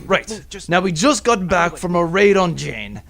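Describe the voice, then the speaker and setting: A man's voice: high voice, big pitch swings, unhurried, creaky, a crowd in the background. A man narrating a comedy space-pirate audio drama in a grandiose, theatrical style. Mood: exasperated.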